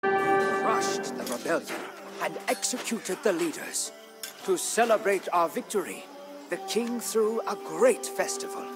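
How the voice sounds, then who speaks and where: An actor playing a proud, loyal Indonesian royal guard commander, narrating his tale in a solemn, weighty, measured storyteller's manner. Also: on the high side. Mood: troubled. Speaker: campaign narrator. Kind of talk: dramatic scripted narration. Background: music.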